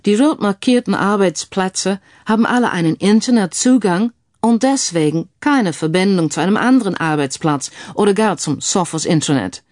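A woman voicing a calm male IT security expert who explains things in a mildly schoolmasterly way, mixing English phrases into the talk. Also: mid-range voice, dry recording, studio quality, close to an everyday voice. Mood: patient, explaining. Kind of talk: audiobook character dialogue.

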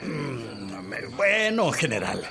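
Evasive older negotiator, Mexican accent, lively swings in pitch, hesitant, ingratiating, radio drama. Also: male voice, drawn-out words, gravelly, crowd noise, full character voice. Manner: hesitant, placating, conversational. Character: ingratiating, evasive older male government envoy. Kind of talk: Spanish-language radio drama acting.

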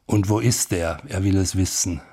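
A man giving spontaneous, reflective film commentary in halting phrases as he muses. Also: deep voice, slightly rough. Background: loud music.

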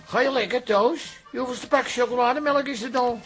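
A man's voice: very high voice, brisk, fairly bright tone, soft background music. A man acting in a radio comedy drama as a good-natured, teasing friend who is often puzzled. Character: good-natured, slow-to-catch-on, teasing pal.

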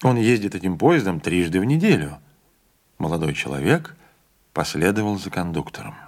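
A man narrating a literary audiobook with an expressive reading, giving the characters' lines a voice of their own. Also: deep voice, normal speed.

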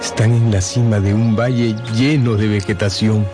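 A man narrating a scripted radio drama in an expressive, deliberate storytelling style. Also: fairly low pitch. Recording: music under the narration.

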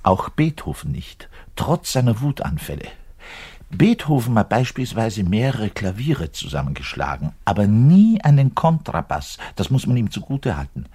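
An actor playing a self-important, frustrated orchestral double bass player in a radio monologue, pedantic, rambling and emphatic, with a husky voice. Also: male voice, very animated, normal speed, warm tone. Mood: pedantic, earnest. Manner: pedantic, rambling, emphatic, shifting to muttered asides. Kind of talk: theatrical monologue addressed to a listener.

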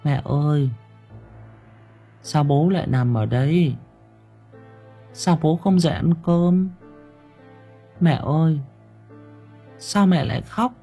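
A little girl asking puzzled, innocent questions, as a male storyteller puts her on. The voice is raised high with a husky grain. Soft music runs under it. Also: slow pace, long pauses, full character voice. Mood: bewildered. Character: small bewildered young girl.

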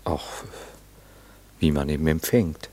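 Low-voiced reserved, courteous gentleman. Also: slow. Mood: evasive, reserved. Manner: polite, evasive, reflective. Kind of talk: intimate radio play dialogue.

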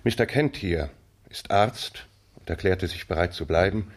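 A man's voice: low-pitched, a little slow. An actor playing a grave older colonel and protective father in a 1960s German radio drama, speaking solemnly and heavily. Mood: grave, pained.

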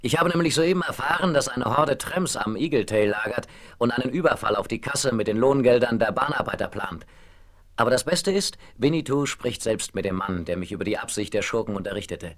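An actor playing a courteous, brisk young railway engineer in a German radio play western. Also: male voice, medium pitch, expressive, a bit bright. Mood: eager. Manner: polite, businesslike.

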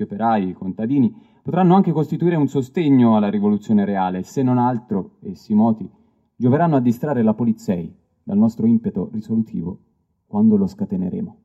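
A man giving a dramatic literary reading, declamatory and deliberate, with weighty pauses. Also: warm tone. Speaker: stage reader of literary texts. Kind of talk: dramatic literary reading over live music. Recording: dull lo-fi recording, plucked bass music behind. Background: live stick bass music.

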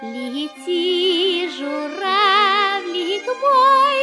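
Very high-voiced sweet little girl. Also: very slow, over loud music, exaggerated voice. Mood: tender singing. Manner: tender, childlike, melodious. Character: sweet, eager young girl, beloved granddaughter weaver. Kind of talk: Russian fairy-tale audio play with songs.

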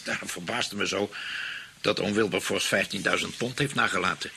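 An actor playing a hesitant, sheepish spendthrift husband in a radio play, in a conversational Dutch accent from the Netherlands. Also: male voice, sits low, steady tone, normal speed, vocal fry, sharp tone, slight background noise, exaggerated voice. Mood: explaining. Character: spendthrift husband fretting over an aunt's inheritance.

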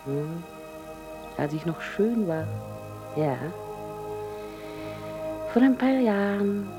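A woman's voice: mid-range voice, lively intonation, extremely slow, music in the background. An actress in a German radio drama playing a sick woman in an asylum, self-mocking, teasing and wistful. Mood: wistful, reminiscing.

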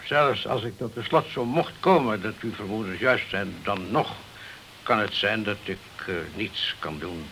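An actor playing a cynical, reserved French police chief in a crime radio play, speaking formally, cautiously and in a measured way. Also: male voice, on the low side, animated, pushed and bright, full character voice. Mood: guarded. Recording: hissy, noisy old radio drama recording.

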